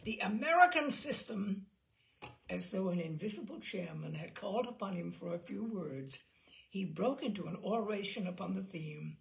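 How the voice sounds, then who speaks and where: Expressive woman reader, gravelly edge. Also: fairly low pitch, moderate pace, rough recording. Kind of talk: audiobook narration.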